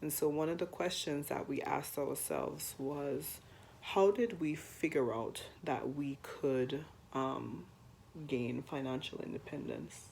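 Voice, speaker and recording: A young woman co-hosting a personal finance chat to camera, hoarse while getting over the flu, chatty with frequent ums. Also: low voice, slow pace, darkish tone, a little hiss or hum. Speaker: co-host of a personal finance channel.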